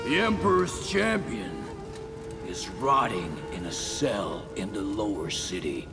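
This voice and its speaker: An actor playing a proud, defiant samurai warlord in a video game, grandiose and commanding in manner. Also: male voice, on the low side, big pitch swings, slow, vocal fry, full character voice. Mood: scornful. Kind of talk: dramatic video game acting. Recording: music behind the voice. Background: loud cinematic music.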